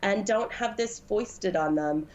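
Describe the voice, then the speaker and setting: A woman's voice: medium pitch, takes it slowly, a little mellow, muffled recording. A woman giving her opinion in earnest, unscripted talk. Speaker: speaker in a video being played.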